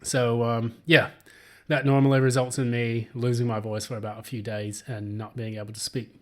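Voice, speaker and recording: A man with a hoarse, recovering voice giving a casual, rambling solo tarot reading, with many filler words. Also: medium pitch, flat delivery, normal speed, a bit bright. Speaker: tarot reader.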